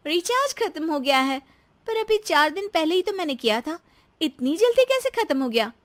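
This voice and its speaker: High-pitched, exasperated young daughter-in-law. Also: female voice, very animated, moderate pace. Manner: dramatic, whiny complaining. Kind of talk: acted dialogue in animated moral story.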